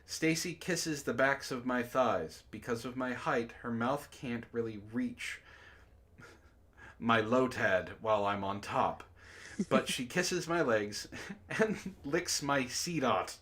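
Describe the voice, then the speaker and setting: A man's voice: mid-range voice, takes it slowly, fairly bright tone. A man hosting a comic book club, reading a story aloud and breaking off with laughing, exclaiming asides.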